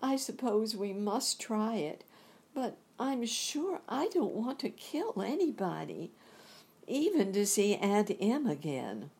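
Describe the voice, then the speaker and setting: Woman doing a young storybook girl, sad and gentle, high, husky, slow. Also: sing-song, exaggerated voice. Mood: reluctant. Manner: soft, plaintive girlish voice. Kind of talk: storybook reading.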